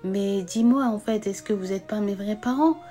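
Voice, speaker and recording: A woman's voice: medium pitch, normal speed, warm tone, soft background music, close to an everyday voice. A woman voicing a distraught twelve-year-old adopted girl in a spoken story, pleading and insistent.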